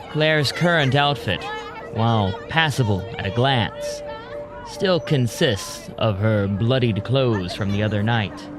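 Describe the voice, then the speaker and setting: Guy narrating an audio drama, slow and measured, medium pitch, music underneath. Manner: measured, deliberate. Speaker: narrator, storyteller.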